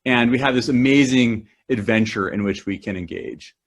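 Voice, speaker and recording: A man's voice: medium pitch, moderate pace. A man from New Zealand presenting a climbing talk, enthusiastic and full of fillers and restarts. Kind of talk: presentation to a club audience.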